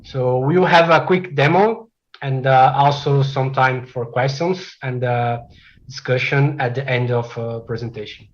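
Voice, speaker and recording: A man from Brazil whose first language is Portuguese, giving a technical presentation as a developer, with frequent "uh" fillers and measured delivery. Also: medium pitch, steady tone, muffled recording. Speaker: conference speaker, software developer.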